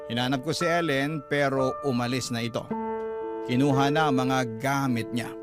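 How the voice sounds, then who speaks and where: A man's voice: medium pitch, steady tone, moderate pace, natural voice. A man narrating as a remorseful young father, confessing his story earnestly in a radio drama. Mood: sorrowful. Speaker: letter sender narrating. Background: music.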